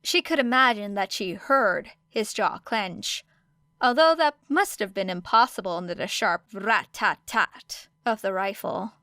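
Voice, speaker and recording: A woman's voice: medium pitch, measured pace, pushed and bright, no room sound, clean studio sound. A woman reading scripted fiction as an audiobook narrator.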